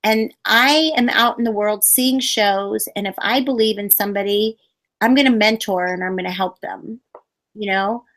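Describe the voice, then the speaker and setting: A woman's voice: mid-range voice, fairly even intonation, moderate pace, a bit bright. A woman puppeteer giving advice in a casual interview, rambling with many fillers and restarts.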